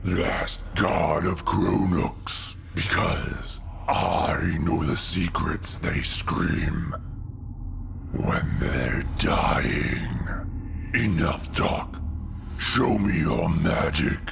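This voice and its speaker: Super low croaky god killer villain, crawling slow and menacing, music behind. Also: male voice, background noise, heavily acted. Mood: cold menace. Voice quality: gravelly, breathy in places. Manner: slow, deliberate, threatening. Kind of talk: comic book audio drama acting. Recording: music under the voice.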